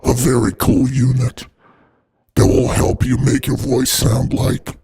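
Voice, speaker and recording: A man narrates an unboxing with heavy creak and a husky rasp, his voice run through a voice modifier. He is casual and irreverent, and swears freely. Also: mid-range voice, little pitch movement, slow pace, dark tone. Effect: voice changer processing. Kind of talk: casual scripted product unboxing voice-over.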